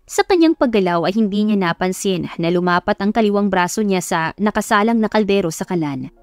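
A woman's voice: mid-range voice, animated, brisk, a little mellow, no room sound. Woman narrating, dry close sound over music. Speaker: audiobook narrator. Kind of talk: scripted dramatic story narration.

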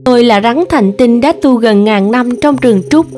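A woman voicing an ancient female snake demon, bitter and scornful, in an animated folk tale. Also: on the high side, normal speed, faint music behind, close to an everyday voice. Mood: scornful confession. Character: thousand-year-old female snake demon, confessing.

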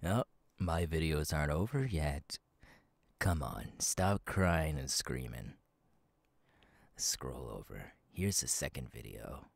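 A man voicing a sadistic masked killer in an ASMR roleplay, husky and taunting, slow and halting, often dropping to a whisper. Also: a bass voice, lively intonation, no echo, heavily acted. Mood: gleeful, mocking. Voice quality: husky, gravelly. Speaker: voice actor, ASMR artist. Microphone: close, dry microphone.

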